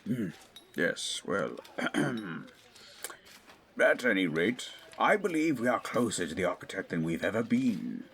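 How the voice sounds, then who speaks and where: An actor playing a learned, eager 1920s English archaeologist and father in an audio drama, middle-aged, speaking in a formal, professorial, deliberate way. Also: on the low side, sing-song, fairly bright tone, slight background noise, exaggerated voice. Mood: fervent, proud. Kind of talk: scripted audio drama acting.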